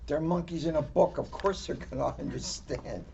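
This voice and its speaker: A woman with a low voice chips in casually during a storybook reading. Her manner is playful and mock-serious, and the recording is a bit dull with faint noise behind it. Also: normal speed, creaky, warm tone. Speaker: police officer, co-reader of a children's storybook.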